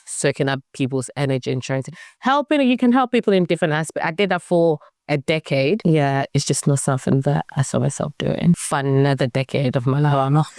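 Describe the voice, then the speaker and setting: A woman in her thirties from Ghana, a guest in a casual interview, talking in a reflective way with sudden jumps in pitch. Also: expressive, normal speed, no room sound, studio quality.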